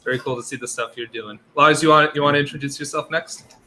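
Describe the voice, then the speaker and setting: An upbeat man hosts a relaxed tech chat in a bright, forward voice, tossing in a friendly "y'all". Faint noise hums behind him. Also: fairly high pitch, quick pace. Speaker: podcast host.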